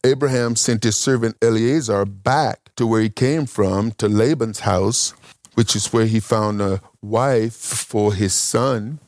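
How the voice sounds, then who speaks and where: A male preacher working through a Bible question in front of his listeners, speaking in a slow, unhurried way. He stops often and restarts in short phrases, as if thinking aloud. The sound is close and dry, with no room around it. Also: fairly low pitch, darkish tone, no echo. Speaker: preacher teaching a congregation. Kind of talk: Bible teaching talk.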